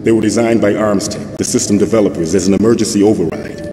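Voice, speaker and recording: Actor as deep, grave captive agency chief, echoing. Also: male voice, fast talker, close to an everyday voice. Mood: urgent. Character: captive middle-aged male defense agency chief, grave and weary. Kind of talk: dramatic video game cutscene dialogue. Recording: echoey reverberant room under loud music. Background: loud game music.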